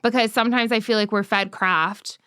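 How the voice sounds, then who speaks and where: A young woman talks easily on a podcast, her voice smooth and clear and her pitch sitting in the middle. She fills her sentences with casual "like"s as she leads the talk. The sound is close and dry, with no room behind her. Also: steady tone, a little fast, bright, forceful tone, no room sound. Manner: chatty, frequent "like" fillers, leads the questions. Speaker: podcast co-host. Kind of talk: conversational podcast chat.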